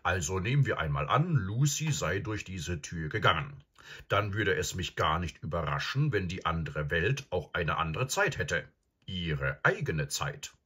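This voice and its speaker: A grave old professor acted out by a man, low and husky, calm and probing. He ponders each point with absent-minded hums, as in a storybook reading. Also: full character voice. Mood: musing, earnest. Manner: deliberate, pondering, with hums and murmurs. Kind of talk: audiobook character acting.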